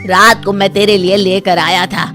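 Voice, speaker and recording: A woman voicing an exasperated middle-aged father in a story, speaking loudly and bluntly. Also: medium pitch, fairly even intonation, normal speed, music in the background, close to an everyday voice. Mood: scolding. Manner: loud, blunt scolding.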